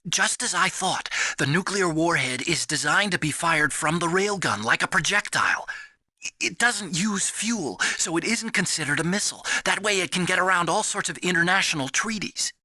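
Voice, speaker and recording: An earnest, boastful computer whiz in a video game. His voice is fairly high and very bright, with pitch that swings eagerly as he explains. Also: expressive, normal speed, no echo, full character voice. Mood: urgent, explanatory. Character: nerdy, excitable male computer hacker, earnest and boastful. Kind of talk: dramatic video game voice acting.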